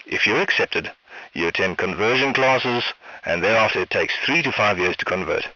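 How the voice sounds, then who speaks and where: A man's voice: fairly low pitch, animated, moderate pace, pushed and bright, no echo. A man speaking politely and earnestly, a little hesitantly, as a rabbi taking a phone call. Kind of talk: polite, serious phone conversation. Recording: dull lo-fi phone call audio.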